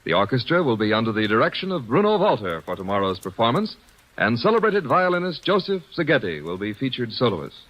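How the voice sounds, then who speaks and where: Lively formal old radio announcer. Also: male voice, mid-range voice, brisk, rough recording. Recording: old radio broadcast with music.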